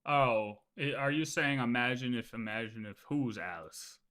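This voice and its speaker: A man's voice: medium pitch, normal speed, pushed and bright. A man livestreaming casual reaction commentary in an informal, slangy way.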